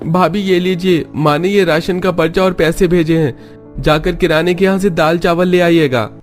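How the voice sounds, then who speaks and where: A man plays a cheeky young brother-in-law in a cartoon family story. He pitches the voice up and wheedles breezily, with music behind. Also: fairly even intonation, normal speed, lightly acted.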